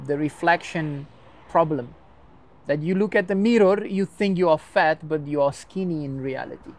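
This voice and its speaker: A man's voice: on the high side, darkish tone, a little hiss or hum. A man, a dance teacher whose first language is a Portuguese creole, giving long thoughtful interview answers in English and explaining with everyday comparisons.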